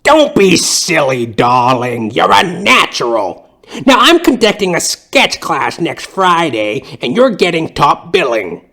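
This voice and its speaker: A man acts out an old woman, once a circus clown and now a theatrical schoolteacher. She cajoles in grand, drawn-out phrases that swoop up and down. It is played as broad audio comedy, slow and savoured. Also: fairly high pitch, very animated, gravelly, bright, forceful tone, pitched above the performer's own voice, heavily acted. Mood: brazen, coaxing. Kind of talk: comic audio play acting.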